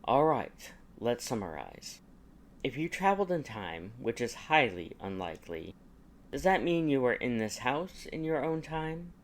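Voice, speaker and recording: A man's voice: medium pitch, very animated, measured pace. Man reading as haughty, sardonic young aristocratic wizard. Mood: businesslike. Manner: dry, interrogating, formal. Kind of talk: audiobook character dialogue.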